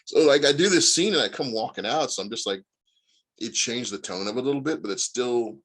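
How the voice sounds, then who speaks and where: A man's voice: mid-range voice, lively intonation, a little fast, a bit bright, husky. A man in his forties from Indiana, a guest actor chatting casually and telling long rambling stories full of fillers. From Indiana, USA. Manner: rambling storyteller with many fillers. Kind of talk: casual interview chat.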